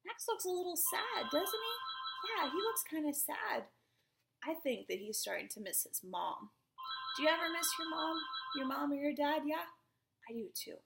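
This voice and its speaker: Young woman, high voice, noticeable fry, breathy, children's read-aloud, encouraging asides, simple questions. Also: big pitch swings, moderate pace, fairly bright tone, soft background music. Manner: encouraging and exclamatory, with repeated praise and simple questions.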